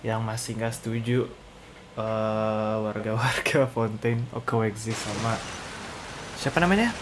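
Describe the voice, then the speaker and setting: A man's voice: on the low side, unhurried, slight background noise. An Indonesian man with an Indonesian accent reacting casually as he streams a game.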